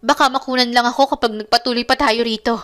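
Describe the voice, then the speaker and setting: A woman's voice: a little high, fairly even intonation, fast talker, a bit bright, close to an everyday voice. A woman narrator playing a haughty, defiant young mistress with a snippy, emphatic delivery in a melodramatic romance story.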